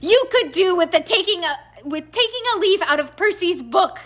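A woman's voice: sits high, big pitch swings, unhurried, bright, forceful tone, voice pushed up, exaggerated voice. A woman voicing a frantic, scolding middle-aged mother in an audiobook, with breathless reproaches. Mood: furious, yelling.

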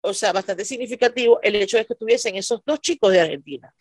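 A woman's voice: medium pitch, quick pace, no echo. Venezuelan woman explaining in conversation, dry sound. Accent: Venezuelan, from Maracaibo. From Venezuela, Maracaibo. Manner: explanatory, often checks she is understood. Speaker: podcast guest, stand-up comedian. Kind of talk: conversational interview storytelling.

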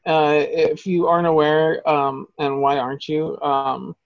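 A man's voice: a little high, fairly even intonation, measured pace, dry recording, dull audio. A man co-hosting a podcast in casual chat, talking in a heated, profane, rambling way. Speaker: podcast co-host.

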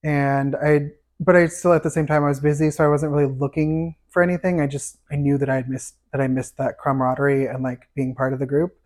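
A man with a light, fairly high tenor voice talks casually as a podcast guest. He rambles through stories with plenty of fillers. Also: level tone, fairly quick, few pauses, a little mellow. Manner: chatty, storytelling with fillers and laughs. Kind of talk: casual interview chat.

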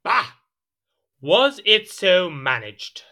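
A man's voice: high voice, very animated, very slow, pushed and bright, heavily acted. An actor playing a petulant, imperious young king in an audio drama, whiny and theatrical. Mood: peevish. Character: petulant, excitable young French king, easily outraged.

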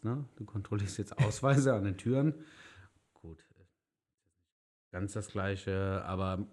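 A man's voice: low voice, darkish tone. A man in his thirties hosting a casual podcast, asking questions and slipping in teasing jokes. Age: 30s. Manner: chatty, teasing with quick jokes. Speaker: podcast host.

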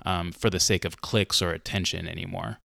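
A man hosting a casual interview, asking questions in a relaxed way and giving short affirming replies. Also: low-pitched, a little fast, vocal fry, no echo, clean studio sound. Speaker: host.

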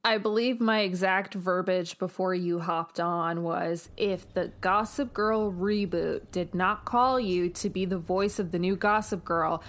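A woman's voice: lowish voice, unhurried, hardly any pauses. A woman from New York with an American accent, talking casually and enthusiastically as a podcast co-host interviewing a guest. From New York, United States.

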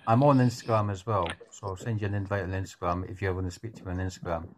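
A very low-pitched man with a Scottish-Irish accent, talking warmly and casually. His voice comes through with clear background noise, like a call heard over a live stream. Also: fairly even intonation, very fast. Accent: Scottish-Irish blend. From Scotland. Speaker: caller. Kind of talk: casual chat.

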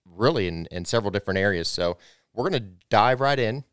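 Young male host, 20s, lowish smooth voice, dry close mic, loose and chatty. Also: animated, brisk, fairly bright tone, dry recording. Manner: rambling, restarts, friendly. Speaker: podcast host. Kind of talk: casual podcast hosting.

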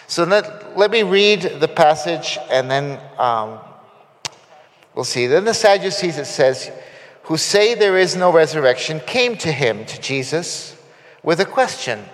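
A man's voice: a little high, very animated, unhurried, in a large hall. A man from Costa Rica giving a conversational sermon, with frequent fillers and restarts. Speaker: guest preacher. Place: church sanctuary.

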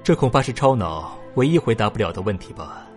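A man acting in a sci-fi radio drama as a thoughtful, long-lived future human, reasoning emphatically with a slightly husky voice. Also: lowish voice, big pitch swings, a little fast, a little mellow, soft background music. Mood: pensive. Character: far-future man nearly two hundred, youthful, pondering humanity's fate.

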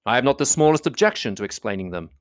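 A man playing a proud, reserved gentleman. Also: mid-range voice, animated, fast talker, dry recording, studio quality, close to an everyday voice. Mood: cool.

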